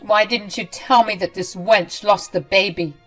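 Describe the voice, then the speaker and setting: A woman voicing a cruel, scornful older mother-in-law who yells and sneers. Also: fairly even intonation, unhurried, soft background music. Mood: contemptuous. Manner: shrill, contemptuous, yelling.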